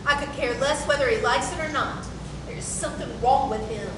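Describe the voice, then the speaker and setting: A woman's voice: normal speed, close to an everyday voice. A woman playing a protective, worried mother who pleads and gives orders in folksy Southern wording at a stage play reading. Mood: alarmed, stern. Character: protective, worried mother of a grown daughter, bossy. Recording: very echoey room from far off, rumbling noise.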